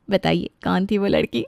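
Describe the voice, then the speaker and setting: A woman voicing a cheeky, chatty young bride in an animated family story, teasing and playful. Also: mid-range voice, vocal fry, no room sound, clean studio sound, exaggerated voice. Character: cheeky, chatty young bride who fusses over her in-laws.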